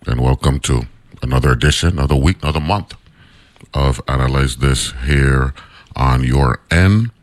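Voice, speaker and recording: Radio host from the Virgin Islands: very deep baritone man, dry close studio sound, loose conversational monologue. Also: moderate pace, no room sound. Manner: conversational, many fillers and "right?" tags. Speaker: radio talk show host.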